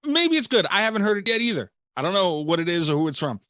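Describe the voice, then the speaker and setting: Male podcast host, slightly high register, dry close sound, casual profane banter. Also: very animated, quick pace, bright, forceful tone, dry recording. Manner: profane, exasperated joking.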